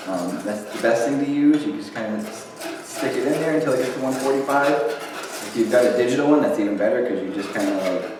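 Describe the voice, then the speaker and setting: A young man, a librarian, casually walking through a recipe step by step in a kitchen, with lots of fillers. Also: mid-range voice. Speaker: teen librarian. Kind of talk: cooking demonstration. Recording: echoey kitchen, far mic, clattering dishes.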